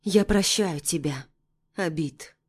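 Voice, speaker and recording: Woman narrator doing wronged heroine in an audiobook, defiant, emphatic and deliberate, lowish female voice. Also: husky. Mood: forgiving.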